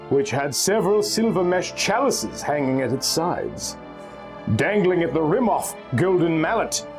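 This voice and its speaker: A man reading pulp fantasy aloud in a theatrical, scripted way, drawing out words at the ends of phrases. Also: on the high side. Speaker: narrator reading a story aloud.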